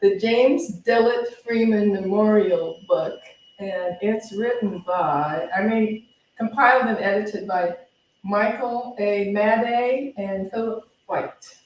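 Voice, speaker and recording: A woman's voice: medium pitch, slow pace, recorded from a distance, a little hiss or hum. A woman giving a casual spoken introduction, as part of a service. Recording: very echoey room, off mic.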